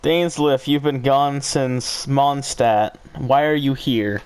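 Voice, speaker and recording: Male game streamer: mid-pitched, casual, exasperated reactions, blunt and sweary. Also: steady tone, slow pace, a little mellow, clear, resonant tone. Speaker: gaming streamer reacting to story. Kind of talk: casual game playthrough commentary.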